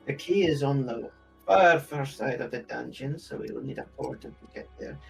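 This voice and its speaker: A man's voice: medium pitch, expressive, moderate pace, creaky, soft background music. A man playing in a tabletop roleplay game, talking casually in and out of character.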